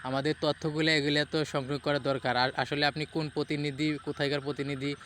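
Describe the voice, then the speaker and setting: A man's voice: on the high side, little pitch movement, fast talker, fairly bright tone, lightly acted. A man with a Bangladeshi accent posing as a reproachful, probing police official on a prank phone call, starting hesitantly. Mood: officious. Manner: questioning, admonishing, hesitant starts. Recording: phone call with faint noise.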